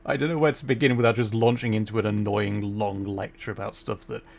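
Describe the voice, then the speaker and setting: A man's voice: fairly low pitch, steady tone, a little fast. A young adult man chatting casually while streaming a game, rambling and correcting himself, with frequent laughs.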